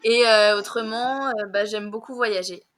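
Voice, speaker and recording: A young woman tutoring French on a video call. She talks fast, laughs a lot and fills her speech with hesitations. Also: a little high, fairly even intonation. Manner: fast-talking, giggly, many fillers. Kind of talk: casual conversation lesson.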